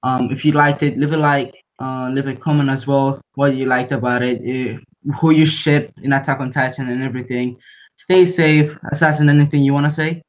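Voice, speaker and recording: A man's voice: mid-range voice, steady tone, moderate pace, rough recording. A young man hosting a podcast, casually addressing viewers of a livestream. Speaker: podcast host. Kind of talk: casual livestream sign-off chat. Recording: faint noise, clicks on voice chat.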